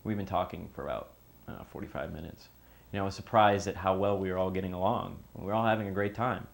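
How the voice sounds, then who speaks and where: An actor as a halting man, low and gravelly. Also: steady tone. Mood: puzzled. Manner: halting, understated, conversational. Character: uneasy man recounting meeting his secret half-brother. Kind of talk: naturalistic documentary-interview style acting.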